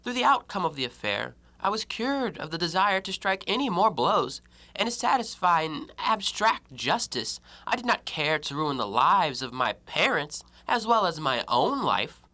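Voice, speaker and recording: An educated, self-justifying man , played by an actor in an audio drama, speaks in formal, reasoned sentences. The voice is bright and crisp with animated swings of pitch, recorded close and dry. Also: normal speed, no echo. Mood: coolly self-justifying. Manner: articulate, rationalizing, formal stage diction. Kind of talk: stage-play acting in an audio drama.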